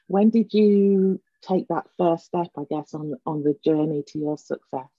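A woman's voice: sits low, unhurried, dry recording, highs cut off. A woman hosting a podcast interview in a conversational way. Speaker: podcast host.

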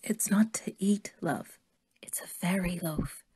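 A woman playing a kindly, worried older woman who believes in fairies, gentle and motherly in an audio drama. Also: on the low side, slow pace, husky, heavily acted. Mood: worried, urging.

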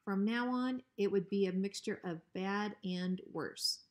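A woman's voice: mid-range voice, unhurried. A woman narrating a children's storybook expressively, as when reading aloud to pupils. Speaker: school principal reading aloud.

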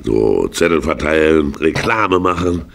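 A man's voice: a bass voice, animated, measured pace, creaky, fairly bright tone, heavily acted. A man playing a jovial, scheming, kindly uncle in a children's radio play, hearty and emphatic. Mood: persuasive. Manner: hearty, chuckling, emphatic with tag questions. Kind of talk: 1980 German children's radio play acting.

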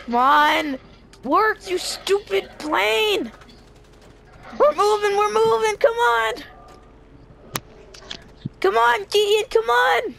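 High-pitched, creaky, excitable young gamer. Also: takes it slowly, pauses often, slight background noise. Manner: excitable, dramatic. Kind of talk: improvised gameplay roleplay.

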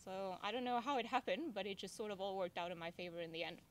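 Brief: young Venezuelan woman, lively storyteller, faint outdoor hum. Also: medium pitch, quick pace. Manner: chatty, laughs often, acts out her own past lines. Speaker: safari guide guest. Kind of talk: casual interview chat. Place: outdoors at a campfire circle in the bush.

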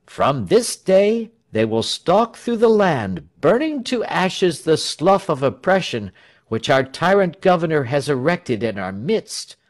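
An earnest, self-conscious folk hero, played by a male narrator, declaims like an untried orator. The voice is raised in pitch and swings widely, with halting pomp suited to a storybook. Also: very animated. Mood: proud, earnest. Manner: declamatory, halting speech-making. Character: bashful hero turned earnest, pompous public orator.

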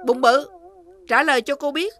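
A woman's voice: high-pitched, normal speed, a bit bright. A woman voicing a stern cow schoolteacher in a children's cartoon, scolding and instructive. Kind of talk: animated children's cartoon acting. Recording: faint music behind the voice.

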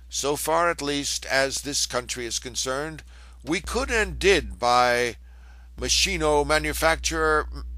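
A man reading an old scholarly lecture aloud in a measured, formal manner, with the occasional stumble over a word. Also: medium pitch, bright, forceful tone, dry recording, low-quality recording. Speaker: reader of a historical lecture text.

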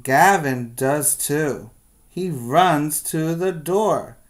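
Very slow-paced man teaching young children. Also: fairly high pitch, expressive. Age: middle-aged. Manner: slow, deliberate reading with questioning asides. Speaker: preschool teacher. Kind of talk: children's story read-aloud with asides to pupils. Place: small plain room indoors.

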